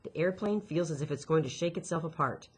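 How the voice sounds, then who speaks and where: A woman's voice: low voice, a little fast, breathy edge. A woman voicing an anxious, bookish girl who is guarded and self-critical in a storybook reading. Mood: anxious, brooding.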